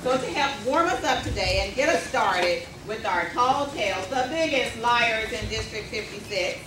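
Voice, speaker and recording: A woman's voice: on the high side, lively intonation, moderate pace. A woman making a formal announcement to an audience as she introduces a contest speaker. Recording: roomy, distant mic, clearly noisy.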